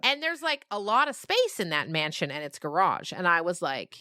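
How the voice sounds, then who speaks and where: A woman's voice: on the low side, sing-song, normal speed, pushed and bright, no echo, studio quality. A woman chatting and telling anecdotes in a dramatic, emphatic conversational style. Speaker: podcast guest, children's writer. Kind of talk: animated conversational storytelling.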